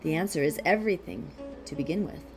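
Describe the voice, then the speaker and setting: A woman reading a philosophical text aloud as scripted narration, in a measured, deliberate way with pauses. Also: fairly low pitch, creaky, faint music behind, poor audio. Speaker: audiobook reader.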